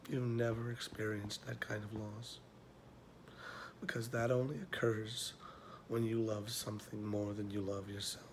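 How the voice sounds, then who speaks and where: Man acting weary mentor, low and measured. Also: level tone, a little hiss or hum. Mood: tender, aching. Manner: measured, pausing, drops to near whisper. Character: weary older male mentor, tender, wounded, quietly confronting. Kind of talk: slow dramatic film monologue audition.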